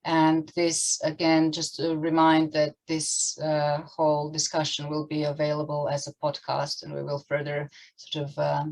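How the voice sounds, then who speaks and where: A woman with a low voice hosts an online panel discussion. Her pitch stays very even, and her phrasing is hesitant and halting as she steers the event. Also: gravelly, low-quality recording. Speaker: event moderator.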